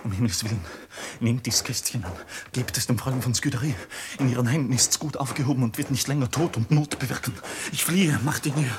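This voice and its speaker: A man's voice: mid-range voice, fast, natural voice. Brief: man playing hunted youth, wild and pleading, intense vintage radio acting, slightly noisy old recording. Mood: pleading, urgent. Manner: intense, dramatic. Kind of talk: 1950s German radio drama acting.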